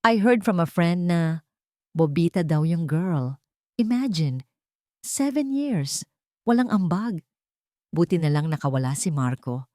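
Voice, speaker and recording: Casting: actress as gossipy young female employee in an audio drama, catty and gushing, English-peppered chatter, lively swooping delivery. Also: fairly low pitch, a little slow, pauses often, dry recording, clean studio sound. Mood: mocking.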